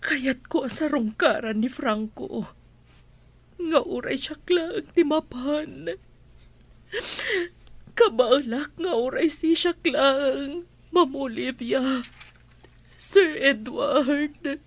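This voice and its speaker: Woman acting high-voiced, slow, tearful grieving mother. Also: no echo, full character voice. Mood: sad, pleading. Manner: slow, tearful, halting delivery. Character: grieving, pleading mother and household servant, Nana Glory. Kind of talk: emotional Ilocano radio drama acting. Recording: music bed behind the voice.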